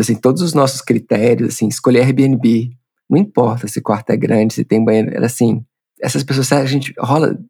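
A man's voice: medium pitch, gravelly, dark tone, breathy edge, no room sound. A Brazilian man in his forties, a podcast guest telling his story casually, rambling with many restarts and fillers. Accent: Brazilian Portuguese.

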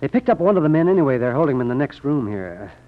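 A man's voice: mid-range voice, big pitch swings, racing, natural voice. An actor playing a friendly, concerned male sidekick in a 1940s radio drama. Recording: thin, narrow-band old radio recording.

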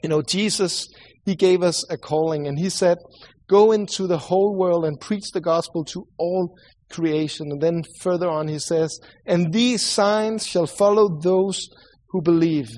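A man with a Swedish accent preaching a sermon and praying, earnest and halting, often restarting and repeating words. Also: on the high side, a little mellow, low-quality recording. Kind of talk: sermon with prayer.

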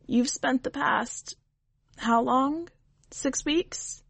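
A woman voicing a weary, blunt female witch who speaks in a dry, measured, skeptical way. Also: high-pitched, gravelly, fairly bright tone, slightly rough, dry recording, voice pushed up, exaggerated voice.

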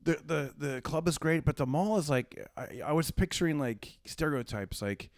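A middle-aged man telling rambling stories as a comedy podcast guest, his pitch jumping all over as he talks. The sound is dry and close, with no room around it. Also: normal speed, dry recording. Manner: rambling, animated storyteller. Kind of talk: casual podcast chat.

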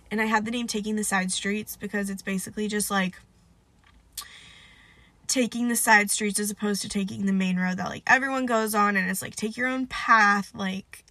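A young woman chats on her own podcast, nasal and stuffed up from a cold. Her voice is bright and a little sharp, recorded close and dry. She rambles loosely, leaning on "like" and "um" and poking fun at herself. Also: mid-range voice, no room sound. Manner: rambling, filler-heavy, self-deprecating. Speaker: podcast host. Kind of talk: casual solo podcast chat.

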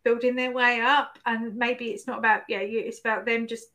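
Woman, podcast host, higher pitch, bright, forward voice, chatty, reflective. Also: flat delivery, moderate pace. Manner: chatty, reflective, shares personal stories. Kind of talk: podcast interview.

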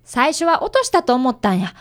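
A woman's voice: sits high, sing-song, brisk. A woman playing an earnest, polite fifth-grade boy who narrates and acts in a radio drama, with a touch. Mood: kindly, questioning.

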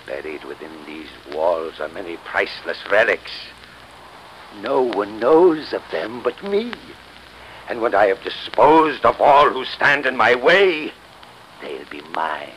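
On a noisy old 1930s radio recording, a greedy, murderous male villain. He is theatrical and gloating, with slow, deliberate menace and big swings in pitch. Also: very animated, a bit bright, heavily acted.

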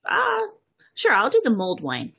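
A woman's voice: medium pitch, huge pitch swings, normal speed, gravelly, pushed and bright, husky, dull audio. A woman speaking casually as a player in a tabletop roleplaying game.

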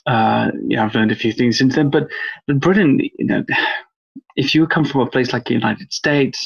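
A man's voice: mid-range voice, moderate pace, vocal fry, slightly rough, dull audio. A man from Wales, a guest researcher on a podcast interview, talking in a rambling, thoughtful way with lots of fillers. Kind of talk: podcast interview over a call.

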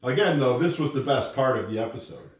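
A man's voice: mid-range voice, fairly quick. A man co-hosting a podcast in loose, opinionated banter with crude, informal joking. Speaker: podcast co-host. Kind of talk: casual chat, opinionated banter. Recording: echoey room, distant from mic.

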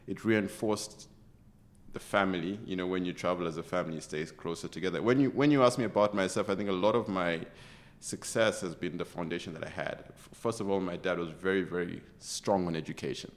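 A middle-aged executive from Uganda talks in an interview with real conviction. His voice sits on the low side, and he circles back and restarts his sentences as he builds a point. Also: fairly quick. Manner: earnest, passionate, with many restarts. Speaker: interview guest, tech executive. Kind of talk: conversational interview.

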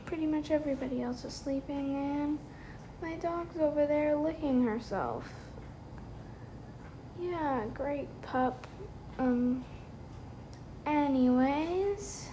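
High, slow, rambling young woman. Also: lively intonation, dark tone, slight background noise, poor audio. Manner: chatty, rambling, lots of fillers. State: tired, late at night. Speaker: podcast host. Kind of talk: casual solo podcast chat. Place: her bedroom at night.

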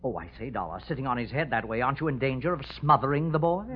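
An actor playing a fussy, formal, easily flustered insurance manager in a 1940s radio drama. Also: male voice, mid-range voice, racing, a little hiss or hum, lightly acted. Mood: flustered, anxious. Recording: dull, muffled 1940s radio recording.

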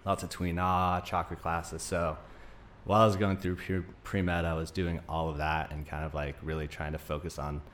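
A man in his thirties speaks in a low voice as a podcast guest. He tells his story in an informal, rambling way, with light noise behind him. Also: level tone, normal speed, a bit bright. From Greece, Denmark and Arizona. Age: 30s. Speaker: podcast guest, naturopathic doctor. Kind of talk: casual conversational interview.

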